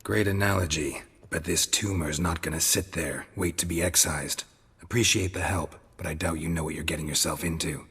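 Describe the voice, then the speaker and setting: An actor playing a stoic, gruff monster hunter in a video game, speaking tersely and deadpan in a gravelly voice. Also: male voice, normal speed, full character voice. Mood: wry, skeptical. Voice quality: gravelly, husky low voice.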